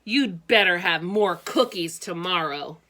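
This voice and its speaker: A menacing schoolboy bully, put on by a woman reading a storybook. The delivery is slow and threatening, pitched on the low side. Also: expressive, pushed and bright.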